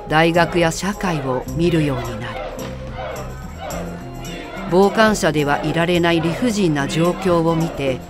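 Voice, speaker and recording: Slow reflective woman narrator, music behind. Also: deep voice. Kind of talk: calm literary narration over film clips. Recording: voice-over with music underneath.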